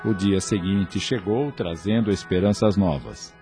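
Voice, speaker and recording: A man narrating a scripted radio drama in a measured, formal manner. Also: sits low, warm tone, faint music behind.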